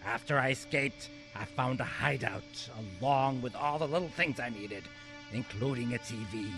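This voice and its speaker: A man's voice: mid-range voice, normal speed, fairly bright tone, soft background music, natural voice. A man playing a gleeful, vengeful maniac supervillain in an amateur radio play, ranting theatrically and menacingly. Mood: bitter, brooding.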